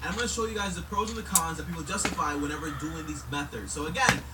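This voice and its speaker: A man's voice: a little high, fast talker, very noisy. A man presenting a YouTube video, repeating earnest warnings and asides to viewers. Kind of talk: scripted video intro. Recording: clip played from far off under loud music.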